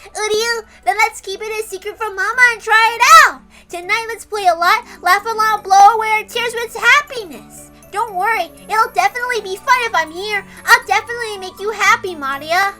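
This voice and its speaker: A tiny cuddly plush lion, sweet and babyish, with a very high, bright voice. It is a storybook creature in a visual novel reading, with music behind. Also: female voice, a little fast, few pauses. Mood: comforting, playful. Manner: babyish, with a cute verbal tic. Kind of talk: visual novel read aloud in character.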